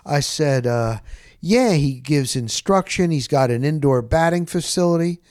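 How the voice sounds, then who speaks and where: A middle-aged man telling stories casually as a sportscaster, rambling and candid, with animated, salty talk and repeated phrases for emphasis. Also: fairly high pitch, unhurried, dry recording, clean studio sound. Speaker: sportscaster and podcast host.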